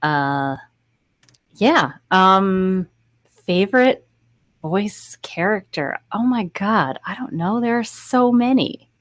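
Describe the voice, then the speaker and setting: A woman's voice: mid-range voice, lively intonation, slow, dry recording. A young woman, a voice actor, introducing herself in hesitant, giggly casual chat. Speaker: voice actor, panel guest. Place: indoor room.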